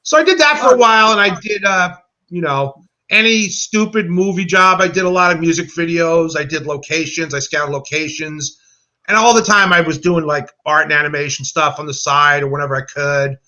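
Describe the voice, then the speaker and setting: An American man with a high, very bright and forceful voice. He chats casually, telling stories and voicing the quotes as he goes. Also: moderate pace. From United States. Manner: animated storyteller who acts out quotes. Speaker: guest, animator. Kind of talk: casual anecdotal chat.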